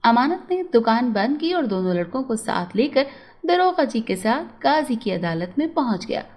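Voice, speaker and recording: A woman's voice: fairly high pitch, big pitch swings, normal speed, a little mellow. A woman storyteller narrating a scripted moral tale.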